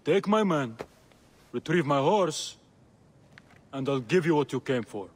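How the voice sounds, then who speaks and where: An actor playing a sly, joking market trader with a teasing, wheedling manner. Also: male voice, on the high side, animated, a little slow, slightly rough. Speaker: merchant.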